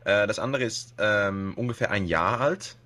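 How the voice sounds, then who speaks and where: A man's voice: lowish voice, moderate pace, bright, forceful tone. Hesitant Dutch man speaking German. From Netherlands. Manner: hesitant, many fillers and restarts, laughs often. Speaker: podcast guest, web radio host. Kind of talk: casual podcast interview.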